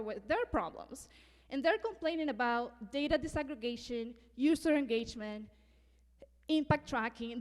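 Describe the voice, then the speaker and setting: Woman in her thirties, from Venezuela, bright high voice, talking in a large hall with long reverb. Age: 30s. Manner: earnest, laughs often, occasional slips. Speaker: conference speaker. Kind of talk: conference talk.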